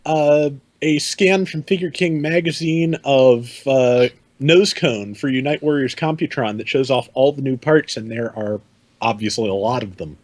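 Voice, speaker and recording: A man's voice: mid-range voice, unhurried, no room sound. A man talking casually as a panelist on a hobby podcast.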